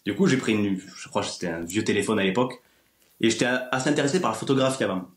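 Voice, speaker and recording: A man's voice: lowish voice, lively intonation, fast talker. A man in his twenties from France chatting casually as a podcast guest, explaining things in an informal way. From France, small village. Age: 20s. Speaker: interview guest, ASMR video creator. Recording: roomy, echoey sound.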